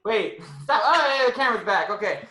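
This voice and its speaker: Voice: mid-range voice, animated, a little fast, bright, forceful tone. An informal, playful science presenter chatting to children during a live online demonstration, recorded in a roomy indoor space. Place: indoor room, live online video call. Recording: roomy echo.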